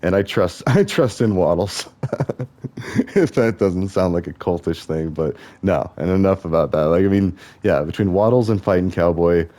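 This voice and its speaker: A young man with a deep, mellow voice talks on and on while playing a game. His thoughts wander from one tangent to the next in a loose, musing way. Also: normal speed. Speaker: gaming vlogger.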